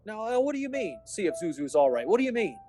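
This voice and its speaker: A man's voice: sits high, big pitch swings, fast. An amateur actor playing a distraught, short-tempered family man in an old-time radio play, agitated and stammering. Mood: irritated, angry.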